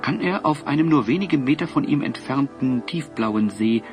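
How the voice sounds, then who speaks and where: Male storybook narrator, medium pitch, measured and expressive, scripted audio drama with faint music.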